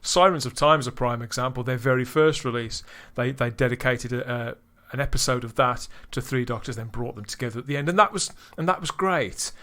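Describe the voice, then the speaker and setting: A man's voice: mid-range voice, fairly quick, few pauses, a bit bright. A man hosting a podcast, reviewing in casual chat, enthusiastic, with frequent restarts. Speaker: podcast host.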